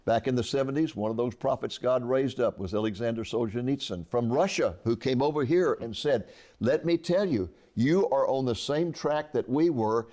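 A man's voice: mid-range voice, moderate pace, warm tone. A man in his eighties, an author interviewed about his book, speaking earnestly and often restarting his words. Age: 80s. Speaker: author guest. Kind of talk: conversational interview.

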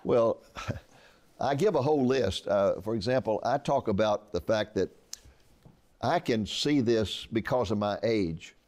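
A man's voice: on the low side, expressive, unhurried, darkish tone. A man in his eighties, an author interviewed about his book, speaking earnestly and often restarting his words. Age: 80s. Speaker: author guest. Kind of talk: conversational interview.

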